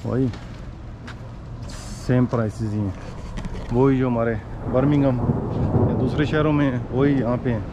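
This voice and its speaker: A man's voice: mid-range voice, steady tone, unhurried, pauses often. A man in his forties giving casual walking-tour commentary as a travel vlogger outdoors, mixing in English words. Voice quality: Urdu-Hindi speech mixed with English words. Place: outdoors on city streets and squares. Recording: outdoor street noise and ambience.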